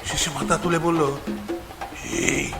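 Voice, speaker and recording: A man narrating a suspense story in a measured, dramatic manner with weighty pauses. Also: on the high side, little pitch movement, roomy sound, over music.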